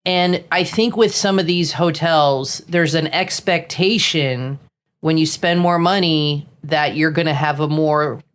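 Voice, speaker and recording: A woman's voice: deep voice, measured pace. Chatty middle-aged woman from Florida. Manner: chatty, opinionated, runs through lists. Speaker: co-host. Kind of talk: casual chat.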